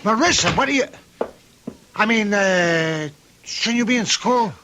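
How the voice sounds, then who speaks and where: An actor playing a middle-aged male crime boss and doting father, gruff and blustering, in a radio drama. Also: high-pitched, animated, unhurried, bright, forceful tone, full character voice. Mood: indulgent, uneasy. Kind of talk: old-time radio drama acting.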